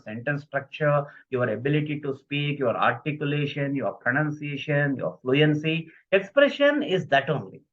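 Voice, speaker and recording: Lively man lecturing online. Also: on the high side. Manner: emphatic, repeats key phrases, asks the audience questions. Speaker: communication skills trainer. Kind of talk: live online lecture, reading viewer chat. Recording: dull lo-fi recording.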